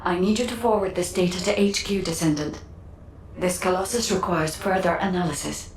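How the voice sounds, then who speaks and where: Composed analytical guide acted by a woman, lowish voice, formal and clinical, heavy echo, video game. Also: moderate pace, slight background noise, close to an everyday voice. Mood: intrigued, serious. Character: composed analytical female guide, serious and precise. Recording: echoing, distant, faint music behind.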